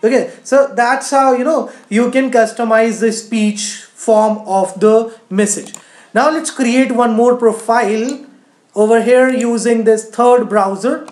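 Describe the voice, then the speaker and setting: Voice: medium pitch, fairly even intonation, measured pace, a little mellow. Coding teacher explaining stuff step by step, roomy echo. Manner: frequent "you know", explanatory. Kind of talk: screen-share tutorial narration.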